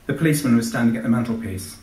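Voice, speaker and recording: A man's voice: medium pitch, racing, darkish tone. A man, an author giving a live reading from his novel. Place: live event in a large venue. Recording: echoey hall, distant microphone.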